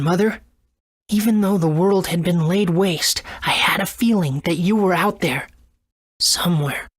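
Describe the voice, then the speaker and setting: A woman voicing an earnest, gentle young boy hero in a video game, with a husky tone. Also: medium pitch, dry recording, studio quality.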